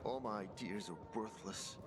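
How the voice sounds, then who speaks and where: An actor playing a nervous young male apprentice inventor who rambles and swings between gloom and excitement, over game music. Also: lowish voice, normal speed, natural voice. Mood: fretful. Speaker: apprentice craftsman. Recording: game soundtrack music behind.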